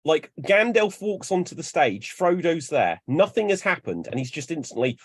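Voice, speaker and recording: A young man chatting as a panelist, his pitch swinging widely as he tells animated stories. His voice sits a little high and is recorded close and dry. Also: moderate pace, husky, no echo. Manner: animated storyteller, acts out bits. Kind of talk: casual chat.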